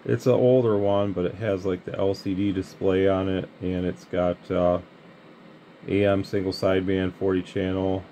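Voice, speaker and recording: Man, Midwestern American accent, low voice, casual CB chat, light radio noise. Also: normal speed. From Michigan, United States. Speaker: radio hobbyist, channel host. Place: indoor radio bench. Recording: steady background hiss and radio noise.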